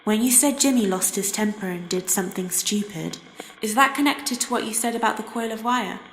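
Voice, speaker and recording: Actress as a careful questioner, roomy echo. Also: female voice, mid-range voice, a little fast, background noise, close to an everyday voice. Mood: careful, probing. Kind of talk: dramatic horror game dialogue. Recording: roomy echo with music behind.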